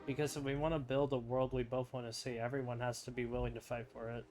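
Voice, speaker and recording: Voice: mid-range voice, level tone, quick pace. Offhand game streamer with music behind. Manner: offhand, informal, wry. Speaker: game streamer reacting to a story quest. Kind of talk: casual commentary, reading dialogue choices aloud.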